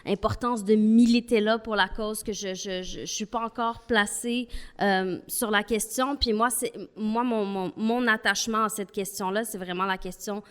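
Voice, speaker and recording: A woman's voice: medium pitch, steady tone, moderate pace, smooth. Earnest woman. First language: not French. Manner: earnest, thoughtful, argues step by step. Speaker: panel guest. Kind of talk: panel discussion.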